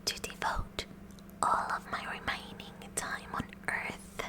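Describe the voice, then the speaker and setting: A woman playing a kooky, eager teenage science club president in a breathy whispered ASMR roleplay, speaking in a deliberate, mock-official way. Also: lightly acted. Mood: officious, eager. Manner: slow, deliberate, mock-official with awkward stammers.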